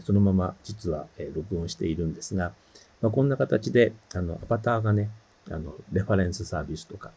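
Deep, husky middle-aged man, casual presenter. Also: dark tone. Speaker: avatar librarian presenter. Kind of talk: casual self-introduction to camera.

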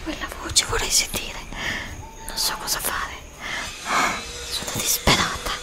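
A woman's voice: measured pace, close to an everyday voice. A woman playing an anxious, desperate heroine lost in a magic forest, breathily repeating herself in short bursts in an ASMR roleplay. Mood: panicked, despairing. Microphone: close USB studio microphone.

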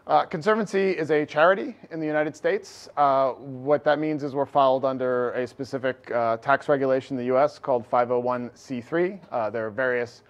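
A middle-aged man giving a conference talk from slides in a large lecture hall, projecting loudly and energetically. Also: medium pitch, brisk, few pauses, muffled recording. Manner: energetic, loud, projecting without amplification. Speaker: conference speaker. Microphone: room recording mic, no lapel.

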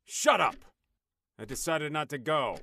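Surly, volatile boyfriend played by an actor, swooping pitch. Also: male voice, lively intonation, a little slow, bright, forceful tone, full character voice. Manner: gruff, contemptuous snapping. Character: abusive, surly, hot-tempered deadbeat boyfriend. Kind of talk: radio drama acting.

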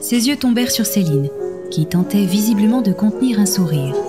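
A woman narrates scripted fiction for an audio drama. Her pitch is average for a woman. Music plays clearly beneath her reading. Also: lively intonation, brisk. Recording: music under the voice.

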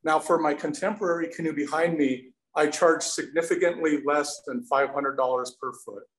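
A man's voice: a little high, fairly even intonation, moderate pace. Earnest man on an echoey video call. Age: 40s. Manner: earnest, thankful, some restarts. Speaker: presenter, canoe maker. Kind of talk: casual Q&A answers. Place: indoor studio with windows. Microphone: video call, webcam.

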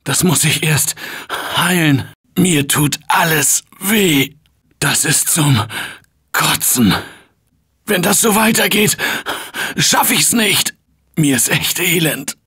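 A man voicing an earnest, panicky office guy surviving a zombie apocalypse in a video game, husky and emphatic in colloquial short barks. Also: a little high, animated, slow pace, long pauses, bright, forceful tone, heavily acted. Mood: hurt, weary.

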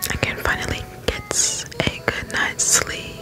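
A woman whispering the slow, drawn-out verses of a folksy male frog boatman from a video game, ASMR style. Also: over music, natural voice. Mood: wistful, easygoing. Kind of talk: ASMR read-out of game dialogue.

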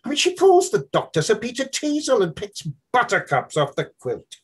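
A man voicing a brash, mocking young male medical student in a loud, high, husky voice. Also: wildly swooping pitch, normal speed, full character voice.

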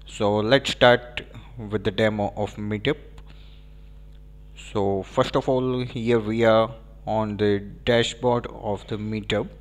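A man with an Indian English accent giving a screen-recorded software walkthrough, pausing often with fillers. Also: on the low side, steady tone. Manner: hesitant, frequent filler pauses. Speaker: software demo presenter.

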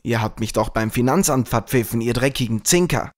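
A man playing a smooth-talking grill owner who is secretly a henchman, in a parody audio drama. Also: medium pitch, expressive, fairly quick, natural voice. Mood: indignant.

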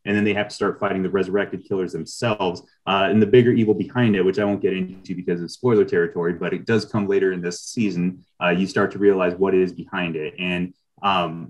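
A man's voice: sits low, a little mellow, low-quality recording. A middle-aged man giving thoughtful interview answers as a writer on a video call.

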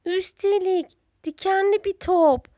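A woman's voice: very high-pitched, fairly even intonation, slow, mellow, no room sound, dull audio, pitched above the performer's own voice. A woman voicing a friendly, curious young storybook animal in a cheerful, sing-song, inquisitive way.

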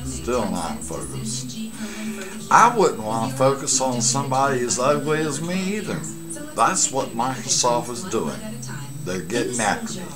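A man in his late fifties chatting casually and haltingly to a webcam at home, with rambling asides. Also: medium pitch, sing-song, over music. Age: late 50s. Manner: halting, pausing delivery with asides. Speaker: amateur vlogger and CB radio hobbyist. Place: small cluttered room at home. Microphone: webcam setup at desk distance.

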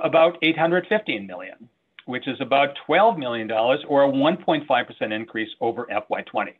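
An older man speaking as an institute director, giving a formal, measured prepared presentation over a video call. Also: medium pitch. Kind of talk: prepared presentation to a council meeting. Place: small office, indoors, on a video call. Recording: muffled, band-limited video call audio.

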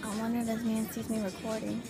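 A woman's voice: a little high, flat delivery, quick pace, over loud music. A young woman chatting casually to her camera while driving, rambling with fillers and restarts, recorded inside a small car. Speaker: vlogger. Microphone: handheld camera. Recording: loud car noise, shaky camera mic. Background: car and road noise.

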